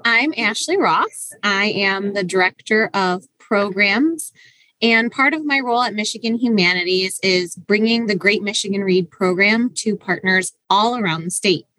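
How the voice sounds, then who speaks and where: A woman's voice: medium pitch, normal speed, bright, forceful tone, dry recording, low-quality recording. A woman co-hosting a podcast, explaining things in a conversational style. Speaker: podcast co-host, program director.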